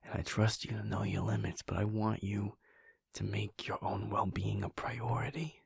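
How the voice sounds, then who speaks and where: A man voicing a caring adult werewolf boyfriend in an audio roleplay, talking earnestly and softly, often close to a whisper. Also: fairly low pitch, steady tone, normal speed, vocal fry, slightly rough, no room sound, exaggerated voice. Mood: tender, reassuring.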